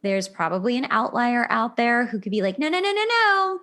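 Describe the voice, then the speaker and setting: Emphatic, higher-voiced woman chatting as host. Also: lively intonation, fairly quick, pushed and bright. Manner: emphatic, repeats words, acts out imagined reactions. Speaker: podcast host. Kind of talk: casual relationship advice talk with anecdotes.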